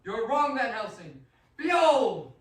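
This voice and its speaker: An actor playing an imperious, seductive, vengeful vampire count in a radio play, formal, theatrical and commanding. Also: male voice, very high-pitched, big pitch swings, slow, exaggerated voice. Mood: fierce. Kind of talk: amateur radio play acting. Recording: roomy, distant, faintly noisy stage recording.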